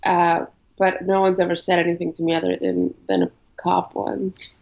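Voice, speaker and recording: A woman in her thirties, a podcast guest who grew up in New York, talking in a relaxed way with frequent ums. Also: fairly low pitch, moderate pace, vocal fry. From born in Ukraine, raised in New York. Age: 30s. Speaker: interview guest. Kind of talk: casual interview chat. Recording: dull lo-fi audio.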